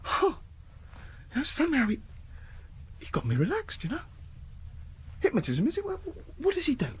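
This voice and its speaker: An actor playing a nervous, boastful British man who dreads the dentist, husky and halting, in a radio drama. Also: very high voice, wildly swooping pitch, a little hiss or hum, heavily acted. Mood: nervy, confiding. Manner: jittery, insistent, halting, blustering. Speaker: patient.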